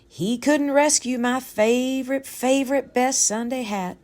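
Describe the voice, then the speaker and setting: A woman voicing an elderly great-great-aunt who reminisces fondly and dramatically in a storybook, savoring her pauses. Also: sits high, darkish tone, voice pushed up. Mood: wistful.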